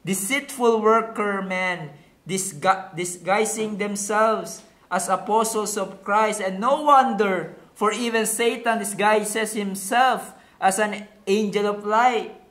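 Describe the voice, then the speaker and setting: A man's voice: high-pitched, slow pace, fairly bright tone. A man with a Filipino accent preaching a sermon in a didactic way, using rhetorical questions and repeating key words for emphasis. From Philippines. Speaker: pastor. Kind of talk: sermon mixing Tagalog and English. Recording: echoey room.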